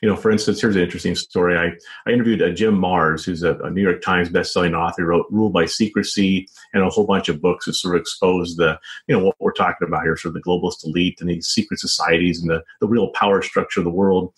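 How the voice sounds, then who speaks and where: A man speaking as an interview guest, a journalist and author, answering conversationally in a wordy, halting way full of verbal padding. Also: sits low, steady tone. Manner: hesitant, wordy, frequent "you know" and "sort of".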